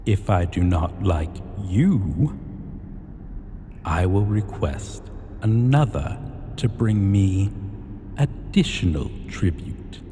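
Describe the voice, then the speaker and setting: A menacing dragon king, played by a male actor, speaks in a low, dark voice with very slow, weighty delivery. He is haughty and possessive, commanding like a ruler in a fantasy audio roleplay, in a long cavernous reverb. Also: heavily acted. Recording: long hall reverb, faint background noise.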